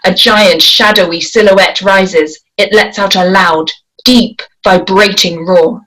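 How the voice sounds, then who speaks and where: A woman reading stage directions aloud at a script table read, in a deliberate, measured way. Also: medium pitch, fairly even intonation, a bit bright, poor audio.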